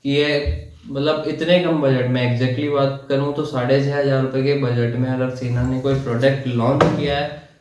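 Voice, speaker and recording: Echoey, flat-toned man explaining. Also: medium pitch, normal speed. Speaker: product reviewer. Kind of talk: casual unboxing and product explanation. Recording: roomy, echoey sound.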